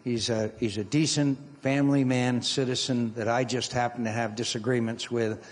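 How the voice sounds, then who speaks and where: A man's voice: mid-range voice, little pitch movement, moderate pace. A man at a campaign event speaks firmly and politely. His voice echoes in a large hall, heard in an archive clip. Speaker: political candidate.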